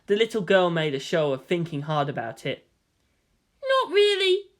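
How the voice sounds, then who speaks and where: A woman reading scripted fiction aloud as an expressive story narrator. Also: low-pitched, brisk.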